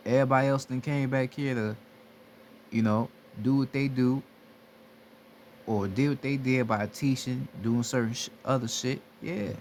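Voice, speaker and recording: A man's voice: medium pitch, fairly even intonation, normal speed, slight background noise. A man giving a casual spiritual talk, blunt and repetitive, swearing freely as he urges listeners to wake up. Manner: blunt, repetitive, heavy profanity. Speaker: spiritual teacher. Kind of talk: casual motivational monologue.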